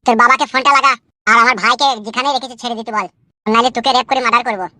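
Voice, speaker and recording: A man voices a bullying young cartoon gangster with a rural West Bengal accent. The voice is high and piercing, firing off taunts at great speed, with faint music underneath. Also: steady tone, rapid-fire, bright, forceful tone, dry recording, full character voice. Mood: threatening. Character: menacing, vengeful young male gangster kidnapper. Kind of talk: comic cartoon dub.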